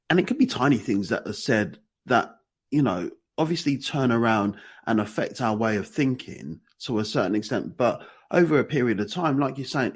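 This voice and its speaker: A man with a husky, croaky morning voice who talks in long, winding, emphatic stretches and repeats phrases as he goes. His pitch swings widely with emphasis. The tone is casual and conversational. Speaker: podcast co-host.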